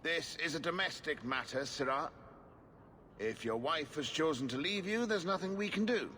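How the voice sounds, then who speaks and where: An actor playing a curt, dismissive city guard officer. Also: male voice, fairly high pitch, lively intonation, moderate pace, pushed and bright, in a large hall, a little hiss or hum.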